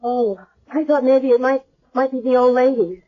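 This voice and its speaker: High-voiced, bewildered young woman. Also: steady tone. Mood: confused, anxious. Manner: hesitant, halting, repeating words. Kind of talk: 1970s radio drama acting. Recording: dull lo-fi old radio broadcast.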